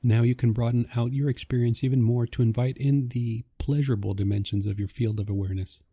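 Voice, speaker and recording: Male meditation guide: husky and deeply relaxed, soft, calm, measured instruction. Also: no echo. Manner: gentle, calming, measured instruction.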